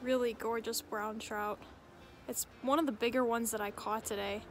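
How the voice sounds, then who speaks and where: Young adult woman, outdoor vlogger, slightly high-pitched, casual chat to a handheld camera. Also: steady tone, moderate pace, a little hiss or hum. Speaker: vlogger and angler. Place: outdoors at a creek bank. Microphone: handheld camera at arm's length.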